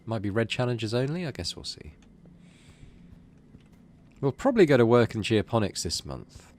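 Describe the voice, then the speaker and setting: A man's voice: mid-range voice, big pitch swings, moderate pace, darkish tone. A man doing casual game commentary, thinking aloud in a hesitant, rambling way. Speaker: video game let's player.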